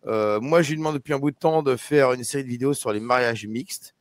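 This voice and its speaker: A man's voice: mid-range voice, fast talker, no echo. A man hosting a casual live discussion, talking with many hesitations and restarts. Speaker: YouTube live host.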